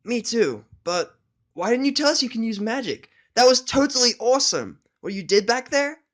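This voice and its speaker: A man voicing a brash, excitable young female pegasus pony in an audio drama, slangy, eager and exclamatory. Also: very high voice, moderate pace, pitched above the performer's own voice, heavily acted. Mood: awed, curious. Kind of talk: audio drama of fan fiction.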